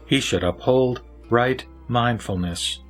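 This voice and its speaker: A man's voice: mid-range voice, slow, soft background music. A man voicing a wise lay teacher who answers solemnly and formally in a scripture audio drama. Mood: solemn teaching. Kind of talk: audio drama sutra recitation.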